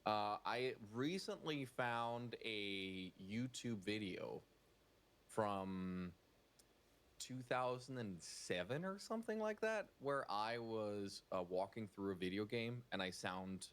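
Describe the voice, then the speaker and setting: A Danish man whose English carries a near-American accent, speaking in a fairly low voice. As a guest on a chat show, he talks things through slowly, with plenty of fillers. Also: animated, fairly bright tone. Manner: thoughtful, filler-laced, drawn-out explanations. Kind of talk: casual interview answers.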